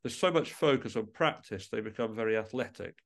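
A man speaking as a musician guest in a reflective interview, halting and often rephrasing mid-sentence. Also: on the low side, lively intonation, gravelly.

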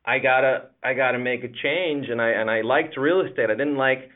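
A man's voice: mid-range voice, normal speed, narrow, tinny audio. A man in his thirties from Puerto Rico and the US East Coast, telling stories casually and self-deprecatingly as an interview guest. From Puerto Rico, Dominican Republic and US East Coast. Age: 30s. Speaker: podcast guest, Navy veteran in commercial real estate.

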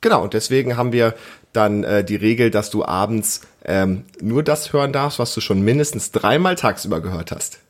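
A man's voice: fairly low pitch, normal speed. Encouraging man chatting as podcast host. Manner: encouraging, explanatory, prompting with questions. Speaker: podcast host, father interviewing his child. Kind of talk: casual family podcast chat.

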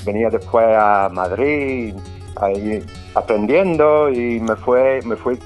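A man from Scotland speaking Spanish as a second language, a flamenco guitarist being interviewed, who talks haltingly with many restarts and fillers. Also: mid-range voice. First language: English. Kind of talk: casual interview. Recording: faint guitar music behind.

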